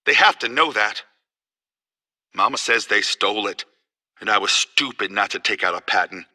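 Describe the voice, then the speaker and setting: Fretful, bright-voiced insecure engineer. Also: male voice, on the high side, big pitch swings, a little slow, exaggerated voice. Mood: hurt, anxious. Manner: fretful, self-soothing muttering. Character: naive, insecure male engineer, overlooked and desperate for notice.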